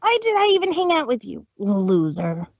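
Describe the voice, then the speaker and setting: A woman's voice: fairly high pitch, wildly swooping pitch, moderate pace, slightly rough, no echo, pitched below the performer's own voice, exaggerated voice. A woman voicing a brash, scornful tomboy female pony in a fan reading. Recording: dull dry lo-fi stream audio.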